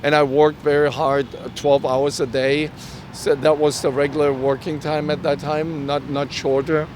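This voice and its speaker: A middle-aged man from Germany, a native German speaker with a German accent, telling personal stories earnestly and haltingly in an interview. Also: little pitch movement, darkish tone. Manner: halting, earnest delivery with self-quoted dialogue. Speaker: interview guest. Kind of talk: personal storytelling in a conversational interview. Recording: outdoor street recording with traffic rumble.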